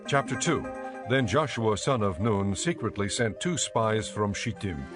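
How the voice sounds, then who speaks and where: A man narrating scripture from a script in a measured, formal way. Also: fairly low pitch, expressive. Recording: music under the voice.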